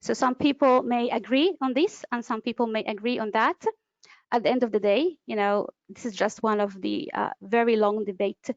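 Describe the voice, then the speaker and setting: Woman, fairly high voice, lecturing, fillers and restarts, dry dull webinar audio. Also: fairly even intonation, normal speed, no echo. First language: Arabic. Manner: explanatory, with frequent fillers and restarts. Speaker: webinar presenter, interpreter. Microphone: computer mic, online webinar.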